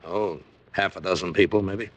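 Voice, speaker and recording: An actor playing a surly, defensive club owner under suspicion in an old radio crime drama. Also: male voice, sits low, fairly even intonation, moderate pace, dry recording, lo-fi sound, natural voice. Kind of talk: 1950s radio detective drama acting.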